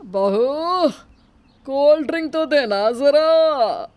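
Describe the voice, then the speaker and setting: A woman's voice: high-pitched, lively intonation, very slow, darkish tone, voice pushed up, heavily acted. A woman voicing a shameless older uncle in a family story, wheedling and leisurely as an overstaying guest. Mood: demanding.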